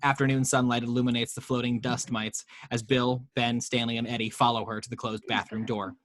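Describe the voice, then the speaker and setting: A man reads out screenplay directions as scripted narration. His voice sits at an average pitch, and the recording is dry with very little room in it. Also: flat delivery, brisk, a bit bright, dry recording. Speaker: reader of action descriptions in a table read.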